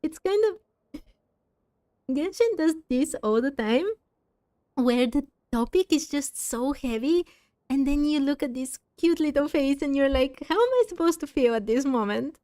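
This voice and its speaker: High-voiced, unhurried woman gamer. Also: animated, dark tone, dry recording, studio quality. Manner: musing aloud, reflective. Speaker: gaming video host. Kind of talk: casual let's-play commentary.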